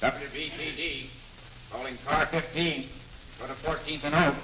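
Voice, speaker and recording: A man playing a flat, businesslike police dispatcher over a radio in a 1940s radio comedy. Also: a little high, a little slow. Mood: flat, official. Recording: very noisy, muffled radio sound.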